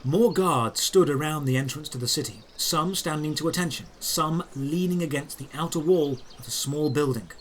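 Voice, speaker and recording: A man with a slightly high voice tells a first-person fantasy adventure as a scripted audio drama. His delivery is deliberate and dramatic, lingering on description. Faint outdoor ambience and birdsong sit behind him. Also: slight background noise.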